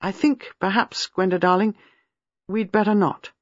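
Polite young husband, a bit unsure, narrator doing him, husky voice. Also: medium pitch, steady tone, measured pace, no room sound, voice pushed up, natural voice. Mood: uncertain. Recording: dull lo-fi dry recording.

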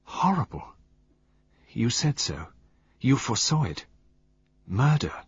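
A man's voice: medium pitch, big pitch swings, normal speed, breathy edge, no room sound, pitched above the performer's own voice. A man voicing a distressed, impulsive young Englishwoman who pleads urgently. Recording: dull lo-fi recording.

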